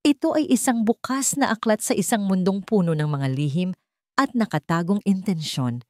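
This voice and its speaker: A woman's voice: mid-range voice, expressive, moderate pace, darkish tone, no echo, studio quality. A woman narrating a scripted romance story in a dramatic storytelling style.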